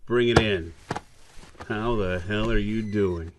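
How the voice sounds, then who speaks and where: A man's voice: fairly low pitch, takes it slowly, noisy background. An actor playing a laid-back male buddy in an audio drama, teasing and sardonic in casual dialogue. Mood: teasing, friendly. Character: laid-back, teasing old high-school buddy, male video analyst.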